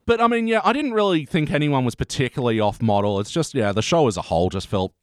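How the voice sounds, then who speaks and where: A man's voice: mid-range voice, animated, fast, clear, resonant tone, no room sound. Casual Australian man, close dry recording. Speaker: podcast host. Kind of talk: casual TV review chat.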